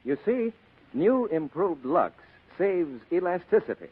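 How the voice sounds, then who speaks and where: An American man announces in scripted 1940s radio style. His husky voice moves widely in pitch. It comes through a tinny, narrow old broadcast. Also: sing-song, measured pace.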